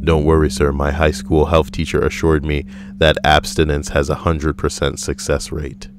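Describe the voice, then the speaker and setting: A man co-hosting a casual podcast, reading out strangers' stories with wry, deadpan, rambling asides. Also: a bass voice, moderate pace, music in the background. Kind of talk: casual joking commentary.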